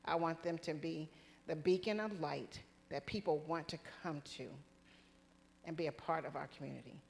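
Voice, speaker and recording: A woman's voice: low voice, moderate pace, gravelly, a little mellow, long reverb tail, a little hiss or hum. A woman giving a direct, plain-spoken campaign speech at a candidate forum. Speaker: city council candidate. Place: community forum hall.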